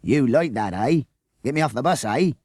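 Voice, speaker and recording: A man's voice: medium pitch, animated, normal speed, darkish tone, dry recording, clean studio sound, pitched above the performer's own voice. A man voicing a blustering, suspicious village policeman who talks sarcastically and keeps adding "eh?". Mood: sarcastic, suspicious. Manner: sarcastic, jeering, tacks on "eh?".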